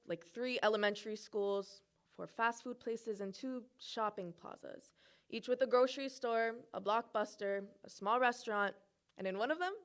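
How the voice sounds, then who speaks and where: A young woman from Hawaii performing a personal narrative at a recital, with playful asides and comic timing. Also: mid-range voice. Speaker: student presenter.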